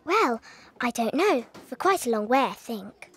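An actress playing a bright, bookish little British schoolgirl in a dramatised audiobook, precise and well-mannered. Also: on the high side, big pitch swings, measured pace, breathy edge, exaggerated voice. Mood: careful, confident.

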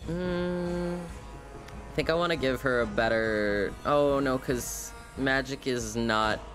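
A man giving casual, informal live commentary as a game streamer, musing aloud to himself. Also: mid-range voice. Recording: game music behind the voice.

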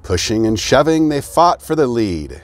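A middle-aged American man reading a children's rhyming storybook aloud in an expressive storytelling manner. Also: medium pitch, normal speed. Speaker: fire chief, guest reader. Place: outdoors beside an open fire truck.

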